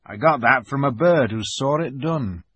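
Man playing an old storybook beaver, grave and kindly, rustic, husky, swooping pitch, slow delivery. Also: animated, a bit bright, dry recording. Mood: gloomy. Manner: slow, emphatic, folksy. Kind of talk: dramatized storybook reading.

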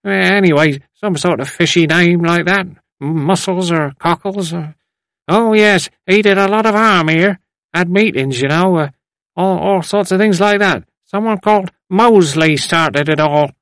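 A man's voice: high-pitched, a little slow, a bit bright, no room sound, studio quality, pitched above the performer's own voice. A man voicing a garrulous old village man from a novel, rambling and repeating himself in a rustic way. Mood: rambling, reminiscing. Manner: rustic, rambling, repetitive, stumbling over words.

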